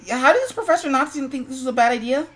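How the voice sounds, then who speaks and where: A woman co-hosting a live stream in casual chat, expressive and quick to react with interjections. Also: high voice. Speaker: stream co-host.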